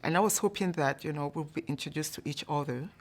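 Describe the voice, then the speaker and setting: Low-voiced woman from Cameroon telling a story. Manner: calm, measured, many "you know" fillers. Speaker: staff member telling a personal story. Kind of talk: storytelling to an audience.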